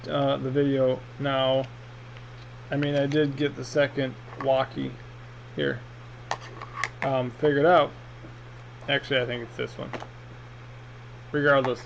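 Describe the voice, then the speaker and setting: A man's voice: mid-range voice, steady tone, measured pace, pauses often. A man giving a casual, rambling, hesitant product review in an unboxing vlog, speaking off the cuff. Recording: muffled, with clear background noise.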